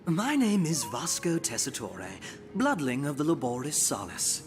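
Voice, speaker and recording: An actor playing a sardonic, smug assassin in a fantasy video game, with florid, theatrical, mocking phrasing. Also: male voice, on the high side, wildly swooping pitch, normal speed. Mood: boastful, biting. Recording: loud music behind the voice.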